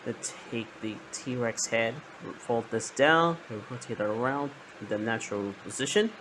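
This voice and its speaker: A man narrating a hands-on toy review in a halting way, often repeating his words. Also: medium pitch, a bit bright, slight background noise.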